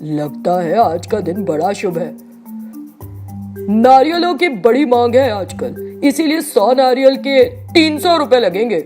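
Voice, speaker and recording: A woman's voice: a little high, big pitch swings, slow pace, music in the background. A woman voicing a greedy, brusque male coconut seller who haggles, in a storybook tale. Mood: scheming. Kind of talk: storybook character voice.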